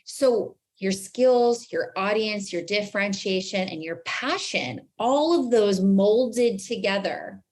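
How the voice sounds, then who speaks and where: A woman's voice: mid-range voice, lively intonation, slow, few pauses, darkish tone. An American woman speaking as an interview guest and branding coach, explaining emphatically and posing rhetorical questions.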